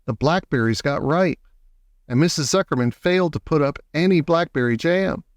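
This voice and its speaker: A man narrating a children's story from a script in a measured, clearly worded way. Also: fairly high pitch, no room sound, studio quality. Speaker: audiobook narrator.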